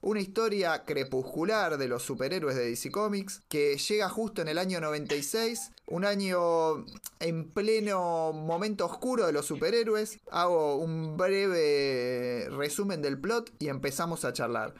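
High-pitched Argentine man hosting a podcast. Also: animated, unhurried. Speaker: podcast host. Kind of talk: casual podcast introduction and chat.

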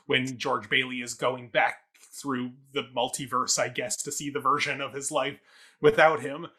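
Guy co-hosting a theatre podcast, bright crisp-edged voice, mid pitch, kinda rambly and hedges a lot. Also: level tone, normal speed. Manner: hedging, rambling, self-correcting. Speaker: theatre review podcast co-host. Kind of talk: casual review discussion.

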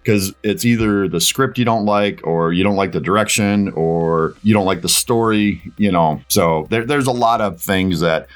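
A man talking casually as a guest voice actor in an interview, in a halting, rambling, self-deprecating way. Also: sits low.

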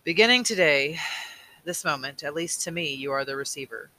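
Guy doing a tired old mentor man, slow and earnest, kinda high and really bright. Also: lively intonation, rough recording. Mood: solemn. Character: weary old male mentor, patient and burdened. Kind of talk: audiobook reading.

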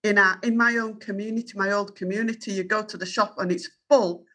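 Firm, bright-voiced Yorkshire woman. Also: medium pitch, steady tone, a little fast. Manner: earnest, firm and self-assured. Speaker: journalist and guest. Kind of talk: candid interview answers.

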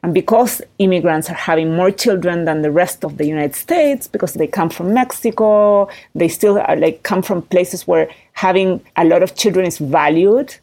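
A woman's voice: fairly low pitch, creaky, a little mellow. A woman in her thirties with a Chilean accent, a startup founder talking animatedly in an interview, full of fillers and rising tags. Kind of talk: interview conversation.

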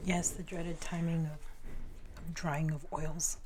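A woman's voice: deep voice, little pitch movement, slow pace, darkish tone, a little hiss or hum. A woman hosting a podcast, reading a scripted intro and then asking questions with brief, encouraging prompts. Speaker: podcast host.

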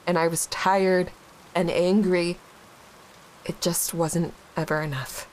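An actress playing a young widowed mother, halting and raw with grief, in a dramatic dialogue. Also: on the low side, steady tone, a little mellow, low-quality recording. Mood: bitter, grieving. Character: grieving young widowed mother, guilt-ridden.

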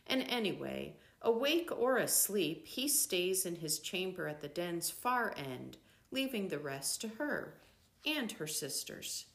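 An older woman reading a book aloud as scripted narration, in measured, deliberate phrases with pauses. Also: lowish voice, gravelly, a bit bright. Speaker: reader of a book.

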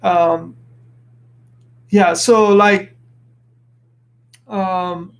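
A man's voice: high-pitched, fairly even intonation, extremely slow. A man from Lebanon hosting a podcast, talking earnestly in a casual motivational monologue and often restarting as he searches for words. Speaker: podcast host.

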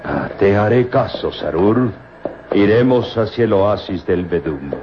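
A noisy, dulled old radio recording carries a hard-bitten desert rider. His voice is fairly low, with a sardonic edge suited to serial adventure. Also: expressive, a little slow, muffled recording. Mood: matter-of-fact. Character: sardonic man riding through desert, hard-bitten companion.

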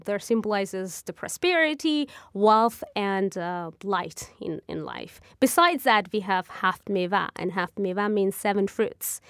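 A woman's voice: medium pitch, no room sound, clean studio sound. A woman from Afghanistan whose first language is Farsi, a Farsi teacher being interviewed, talking casually with fillers and frequent laughter. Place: New York.

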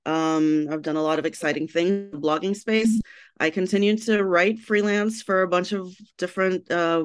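Woman from Trinidad, podcast guest: open, lively storyteller with a crisp, clear voice. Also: fairly low pitch, dry recording. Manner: animated, laughs often, candid storytelling. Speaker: podcast guest, writer and blogger. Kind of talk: casual interview chat.

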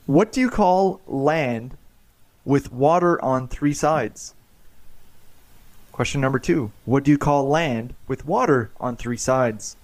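A man's voice: medium pitch, expressive, slow, a little mellow. A man hosting a trivia game on a podcast, joking and often repeating his words as he reads questions. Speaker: quiz host.

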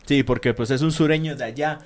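A man's voice: mid-range voice, fairly even intonation, a little fast, darkish tone. A man co-hosting a casual chat, slangy and full of swearing and fillers.

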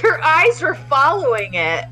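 A woman with a fairly high, animated voice chats casually about a film. She speaks slowly and leaves room between remarks, while film music plays clearly behind her. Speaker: co-host of a film reaction podcast. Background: film soundtrack playing clearly.

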